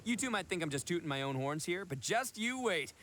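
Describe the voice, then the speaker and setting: A goofy, boastful young man in an anime game. His voice sits high and leaps around with theatrical swagger. The talk is slangy and full of bragging. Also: lively intonation, a little fast, a bit bright, slight background noise. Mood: cocky. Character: brash, boastful young man, goofy self-proclaimed beetle expert.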